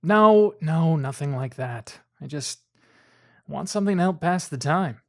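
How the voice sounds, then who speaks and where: Casual night-patrol cop. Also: male voice, on the high side, lively intonation, a little slow, a little mellow. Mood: bored, casual. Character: bored night-patrol police officer, steady and reassuring. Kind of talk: audio drama acting.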